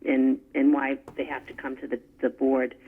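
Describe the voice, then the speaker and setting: A man's voice: medium pitch, level tone, normal speed, husky. A man on the board, calling in and asking hesitant questions, repeating words as he goes. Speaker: board commissioner. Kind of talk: questions at a public meeting. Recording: tinny phone-like line.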